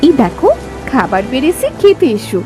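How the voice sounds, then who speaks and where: A woman voicing a hospitable, caring village housewife with an animated manner in a cartoon folk tale. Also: high voice, normal speed, music in the background. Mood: friendly, attentive.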